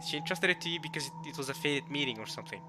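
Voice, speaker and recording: A man who speaks English as a second language, giving casual, rambling let's-play commentary and reading game text aloud, with excitable bursts. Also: fairly high pitch, racing. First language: not English. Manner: rambling, repeats and rephrases himself, excitable bursts. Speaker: streamer playing and reading a visual novel. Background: game music.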